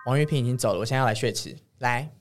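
A woman's voice: a bass voice, very fast, music in the background. A woman with a Taiwanese Mandarin accent co-hosting a casual podcast chat in a playful, giggly, teasing manner. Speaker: podcast co-host.

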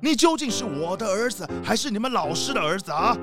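A man's voice: sits high, sing-song, a little fast, over music. An actor playing a grumpy, self-important, suspicious rich father in a children's audio drama, blustering and complaining. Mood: irritated, dismissive.

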